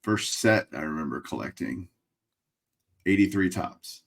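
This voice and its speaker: Deep-voiced Texan man on a video call. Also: a little slow, creaky. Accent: Texas. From Texas. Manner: relaxed, dry humor, thinks out loud. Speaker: guest collector. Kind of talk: casual interview over video call. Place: room full of framed memorabilia. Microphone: webcam video call.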